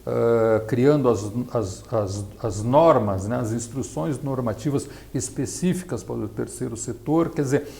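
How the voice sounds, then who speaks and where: A middle-aged man answers as an interview guest. His voice sits at an average pitch with a gravelly creak. He explains like a consultant teaching, pausing and adding tag questions. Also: a little mellow. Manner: halting, didactic, frequent tag questions.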